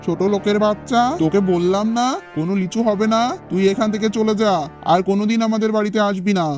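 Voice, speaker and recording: A mean tigress mother played by a woman from a children's cartoon, scolding harshly at a quick pace. She sounds scornful, with faint music behind her. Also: mid-range voice, steady tone, darkish tone, lightly acted. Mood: scornful, angry.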